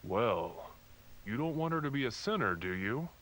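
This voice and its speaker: An actor playing a fatherly, patronizing puppet priest who gently preaches to children with a faintly sinister edge. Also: medium pitch, big pitch swings, normal speed, heavy background noise, natural voice. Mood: gently stern. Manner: patronizing, instructive. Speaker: pastor to children in a puppet show. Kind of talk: children's religious puppet show parody.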